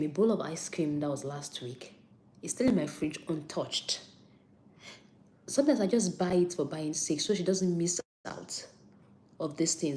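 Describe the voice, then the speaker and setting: Nigerian woman, young adult, low voice, live-stream health talk, chatty, repeats herself for emphasis. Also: dark tone, slight background noise. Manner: chatty, repeats points for emphasis, answers viewer comments.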